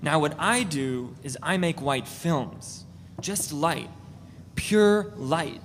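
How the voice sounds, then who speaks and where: A woman voicing an eager, oblivious male artist making a stammering, self-promoting pitch in a literary reading. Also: expressive, takes it slowly. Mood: earnest, pretentious. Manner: pushy, earnest, stammering sales pitch. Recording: echoey hall, noticeable noise.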